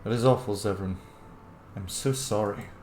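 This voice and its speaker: A man's voice: fairly low pitch, normal speed, a little mellow, roomy sound, a little hiss or hum. A man hosting a game playthrough, commenting casually in an opinionated, informal way with plenty of swearing. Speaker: gaming video host, player.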